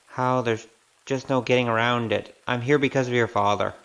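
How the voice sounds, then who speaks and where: A man's voice: mid-range voice, steady tone, moderate pace, a bit bright, smooth, close to an everyday voice, low-quality recording. A man playing a frank, easygoing wanderer in an audio drama, casual and plain-spoken. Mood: solemn, frank.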